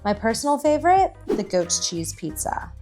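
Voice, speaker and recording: A woman's voice: a little high, very animated, moderate pace, dark tone, faint music behind. A woman narrating a travel vlog from a script as its host.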